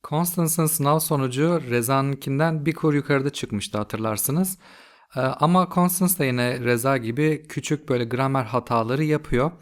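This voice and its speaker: A man's voice: medium pitch, moderate pace. A male English teacher and native Turkish speaker, hosting with interview questions and explanatory commentary. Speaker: English teacher and show host.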